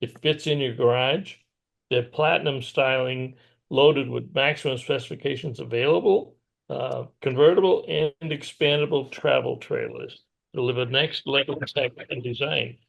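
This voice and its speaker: Gravelly-voiced American man chatting. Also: mid-range voice, steady tone, normal speed, highs cut off. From New England, USA. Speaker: co-host of an RV news show. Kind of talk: casual news chat.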